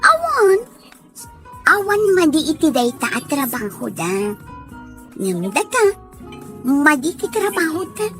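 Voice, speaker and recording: A high-voiced young working woman played by an actress speaks in a scripted radio drama. She is friendly and plain-spoken, with faint music behind her. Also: wildly swooping pitch, measured pace, long pauses, a bit bright, heavily acted. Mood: earnest.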